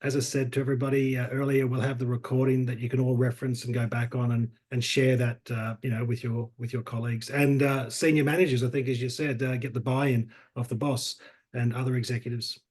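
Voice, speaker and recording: A man's voice: mid-range voice, flat delivery, a little fast, darkish tone. A man hosting a webinar over a video call, talking loosely with many fillers and repeated words. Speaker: webinar host.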